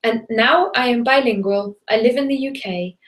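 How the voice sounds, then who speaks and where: A woman's voice: on the high side, normal speed. Chatty German woman teaching live. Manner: chatty and enthusiastic, greets viewers from the chat. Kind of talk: live bilingual webinar.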